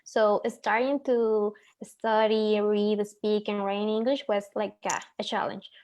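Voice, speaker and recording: Spanish-accented woman, flat earnest delivery. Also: medium pitch, measured pace. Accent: Mexican Spanish. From northern Mexico. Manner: earnest, reflective, some hesitant restarts. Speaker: panelist. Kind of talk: webinar panel discussion.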